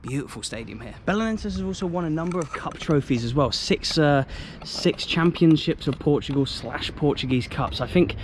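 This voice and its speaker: A man's voice: a little high, expressive, moderate pace, few pauses, slight background noise. An English man filming a football travel vlog, walking round a stadium outdoors and exclaiming about it to a handheld camera. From England. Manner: enthusiastic, exclaims often.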